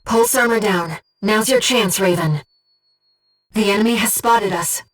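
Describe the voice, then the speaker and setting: A gentle female spirit of a video game, played by a woman, speaks as a mission operator with mid-pitched, precise and composed delivery. The voice is earnest and softly formal, sounding slightly removed from the mic, with music underneath. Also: a little slow, distant mic, lightly acted. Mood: composed, focused. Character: gentle, earnest female disembodied coral being guiding a mercenary pilot. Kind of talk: scripted video game voice acting.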